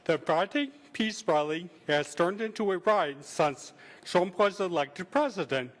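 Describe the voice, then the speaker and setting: A man with a speech impediment giving a prepared public statement, halting and sometimes stumbling over his words. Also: high-pitched. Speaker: public commenter.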